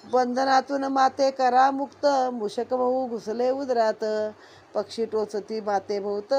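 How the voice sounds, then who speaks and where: A woman reading a devotional verse story aloud in a measured, sing-song recitation. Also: on the high side, warm tone. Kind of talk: slow rhythmic reading of religious verse.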